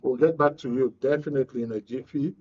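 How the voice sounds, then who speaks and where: A man's voice: medium pitch, fast talker, husky, lo-fi sound. A man with a Nigerian accent hosting live election coverage, courteous and often repeating his phrases. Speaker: programme host.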